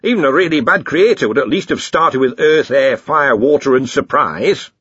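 A man voicing a pedantic older wizard lecturer in an audiobook, speaking deliberately. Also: mid-range voice, lively intonation, fairly bright tone, breathy edge, dry recording, muffled recording, voice pushed up. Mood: pedantic, musing.

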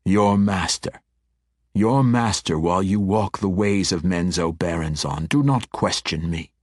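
A man voicing an old, menacing archmage who speaks deliberately and with command in a fantasy audiobook. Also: sits low, dry recording, clean studio sound. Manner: domineering, deliberate.

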